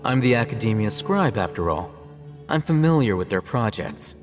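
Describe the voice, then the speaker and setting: A detached young-sounding scholar from a video game in a low register. He reasons formally and deliberately, weighing each phrase. His voice carries a long reverb, with music underneath. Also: big pitch swings. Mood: detached, grave. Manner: measured, formal, deliberate delivery. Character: cool, analytical, detached male scholar and scribe. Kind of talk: scripted dramatic cutscene dialogue.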